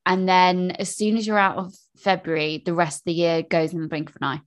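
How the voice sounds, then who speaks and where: A woman's voice: fairly low pitch, level tone, a little fast. Upbeat woman co-host. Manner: upbeat, agreeable, chatty. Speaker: podcast co-host. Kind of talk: casual chat.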